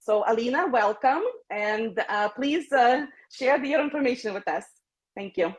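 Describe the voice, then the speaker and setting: A woman's voice: on the high side, unhurried, breathy edge. A woman from Ukraine presenting an informal personal testimonial over a dull, lo-fi online call. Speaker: presenter giving a testimonial and introducing the next speaker.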